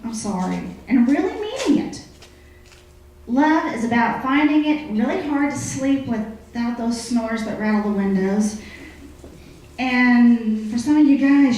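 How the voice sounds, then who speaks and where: High-voiced woman in an echoey theatre. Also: darkish tone. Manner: folksy, conversational, coy. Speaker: comic radio-show commentator. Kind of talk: live humorous monologue. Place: live theatre stage. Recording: very echoey live stage recording.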